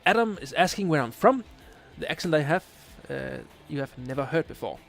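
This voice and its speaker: Man, late twenties, Danish accent, gravelly, casual stream chat, hesitant fillers. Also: on the high side, lively intonation, a little fast, soft background music. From Denmark. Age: 20s. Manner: hesitant, many filler uhs, searching for English words. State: tired late at night. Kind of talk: casual live stream chat, reading chat questions.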